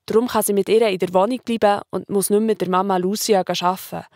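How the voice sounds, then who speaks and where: A woman's voice: medium pitch, fairly even intonation, fast, a little mellow, no room sound, clean studio sound. A woman with a Swiss accent narrating a children's story in a colloquial style.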